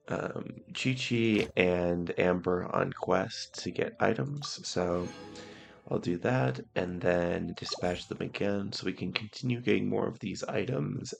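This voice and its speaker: A man hosting casual let's-play commentary, hoarse from illness, laid-back and clearing his throat often. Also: low-pitched. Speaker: gameplay host.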